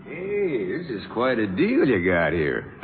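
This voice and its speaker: An actor playing a modest, awkward young jazz trumpeter, hesitant and sparing with words, in a 1950s radio drama. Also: male voice, lowish voice, huge pitch swings, unhurried, big-room sound. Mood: shy, casual. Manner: hesitant, laconic. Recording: muffled 1950s radio broadcast, faint music.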